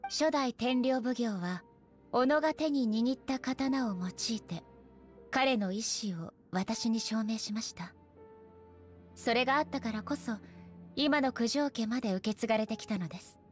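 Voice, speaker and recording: An actress playing a stern, aloof woman shogun in an anime game, speaking formally with measured authority. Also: on the high side, faint music behind, close to an everyday voice. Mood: stern, composed. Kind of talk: anime game character acting.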